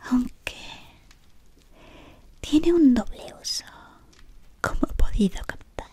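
A woman in her thirties playing a scheming, devoted female servant of a dark wizard, whispering close to the mic in a dim room. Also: sits high, expressive, very slow, long pauses, breathy edge, exaggerated voice. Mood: sinister devotion. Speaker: ASMR roleplayer. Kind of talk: whispered ASMR roleplay.

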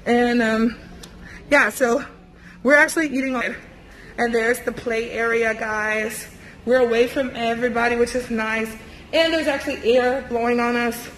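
A woman's voice: a little high, fairly even intonation, normal speed, a bit bright. A young woman vlogging as she walks through a buffet restaurant, talking in a chatty, rambling way with many fillers, holding the camera at arm's length. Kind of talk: casual vlog walkthrough. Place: busy indoor buffet restaurant. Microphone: handheld camera at arm's length. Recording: noisy restaurant ambience.

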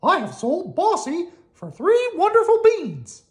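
A man voicing a cheerful, excitable young male mouse in a high, squeaky voice. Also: measured pace, heavily acted. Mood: excited.

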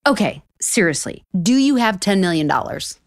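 Sarcastic, flustered author, very animated. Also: female voice, medium pitch, moderate pace, no room sound, clean studio sound. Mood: exasperated, incredulous. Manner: sarcastic, excitable. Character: sarcastic, flustered, easily exasperated woman author. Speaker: actor in a romantic comedy audio drama. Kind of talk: romantic comedy audio drama acting.

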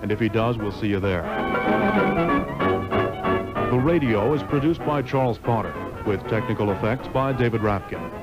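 A man's voice: lowish voice, normal speed, pauses often, loud music behind the voice. Male announcer on dull old radio recording. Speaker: radio drama narrator and announcer. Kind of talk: scripted radio narration and sign-off.

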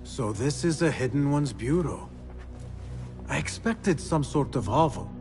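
An actor playing an earnest young assassin apprentice in a video game, speaking politely with a questioning, curious manner. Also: male voice, fairly high pitch, lively intonation, a little slow, over music. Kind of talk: cinematic video game acting.

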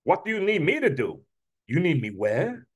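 A man voicing an excitable, starstruck adult uncle with a Brooklyn accent, reading a children's book aloud. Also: on the high side, normal speed, slightly rough, exaggerated voice. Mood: starstruck, incredulous. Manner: excitable, repetitive, incredulous.

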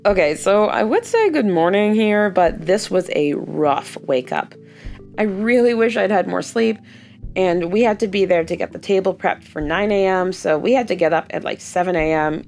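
Hoarse, gravelly young woman narrating. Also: lowish voice, darkish tone. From Canada. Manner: chatty, upbeat recounting. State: hoarse, lost voice. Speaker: doll artist vlogger. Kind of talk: casual vlog voice-over.

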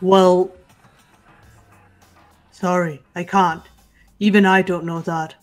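A woman voicing a huge, stoic, honorable female martial artist in a visual novel game, speaking in a solemn, measured way. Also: lowish voice, level tone, a little mellow, faint music behind. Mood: remorseful.

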